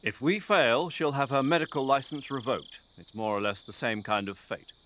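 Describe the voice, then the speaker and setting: A man voicing an older military commander in a video game, precise and drily good-humoured, articulate and measured as he briefs an agent. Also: mid-range voice, a bit bright, a little hiss or hum, lightly acted. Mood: businesslike, grave. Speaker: mission commander giving a radio briefing.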